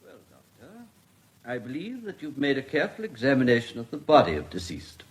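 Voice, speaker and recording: A man playing a courteous, formal English coroner presiding over an inquest in a radio drama, with measured courtroom diction. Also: medium pitch, wildly swooping pitch. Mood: courteous, probing.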